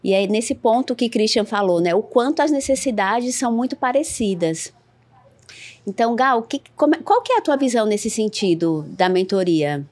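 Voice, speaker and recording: A woman's voice: on the high side, lively intonation, moderate pace, a little mellow. A woman hosting a panel conversation, explaining a program and asking her guests engaged follow-up questions. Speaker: host.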